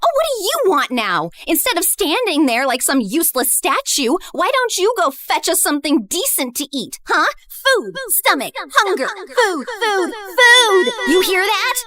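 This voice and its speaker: A woman's voice: extremely high pitch, very animated, a little slow, hardly any pauses, pushed and bright, exaggerated voice. A woman voicing a bitter, spiteful young woman who sneers and accuses with cruel contempt in a dramatized story. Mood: mocking. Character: bitter spiteful young woman, resentful former best friend.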